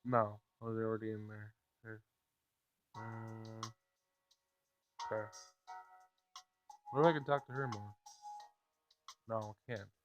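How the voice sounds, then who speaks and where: A man's voice: lowish voice, level tone, a little slow, pauses often, highs cut off, soft background music. A man casually reading a visual novel aloud and commenting as he plays. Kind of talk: casual let's play read-aloud and commentary.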